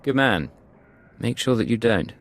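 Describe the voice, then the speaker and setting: A man's voice: mid-range voice, expressive, a little slow, lightly acted. A man playing a cool, confident imperial secret agent in a sci-fi video game, with clipped, assured delivery. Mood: cool, probing. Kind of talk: scripted video game dialogue.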